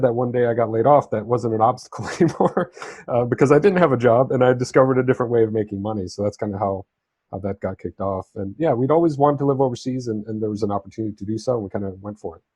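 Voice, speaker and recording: A man's voice: fairly low pitch, mellow, husky, dry recording. A man who grew up in southern Spain talks conversationally as an interview guest, telling his own story in an easygoing, explanatory way. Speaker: interview guest, freelance writer and travel correspondent.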